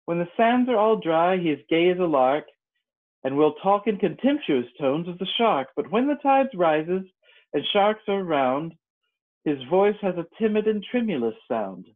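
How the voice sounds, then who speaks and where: A man's voice: sits high, sing-song, unhurried, pitched above the performer's own voice. A man voicing Alice, a polite, curious young girl in a storybook reading, light and wondering. The sound is thin and tinny. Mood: reciting. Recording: tinny phone-like lo-fi audio.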